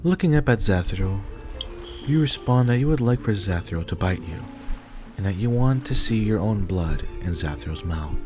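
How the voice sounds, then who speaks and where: Low-voiced male narrator over music. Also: animated, moderate pace. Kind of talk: scripted second-person story narration. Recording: clear music bed behind.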